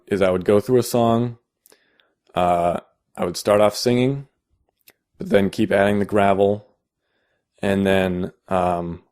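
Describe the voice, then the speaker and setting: A young man with a deep voice, explaining casually in a how-to video, halting with many fillers and restarts. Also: a little mellow. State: out of vocal shape. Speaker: vocal tutorial maker.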